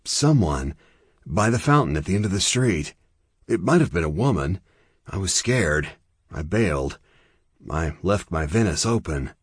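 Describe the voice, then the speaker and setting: A man voicing an anxious, soft-hearted young girl with an earnest, plain-spoken delivery in an audiobook. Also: deep voice, expressive, measured pace, slightly rough, no room sound, clean studio sound, heavily acted. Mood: uneasy.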